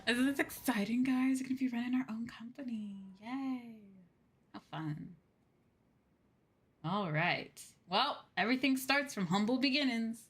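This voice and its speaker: A woman's voice: fairly high pitch, a little slow, a bit bright, slightly rough. A woman streaming a game with casual, cheerful and encouraging commentary. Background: game guitar music.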